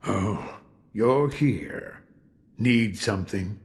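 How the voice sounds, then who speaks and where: Gravelly, weary old shinobi. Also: male voice, lowish voice, extremely slow, a little hiss or hum. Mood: gruff, terse. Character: weary old one-armed retired shinobi sculptor, gruff. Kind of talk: dramatic video game dialogue.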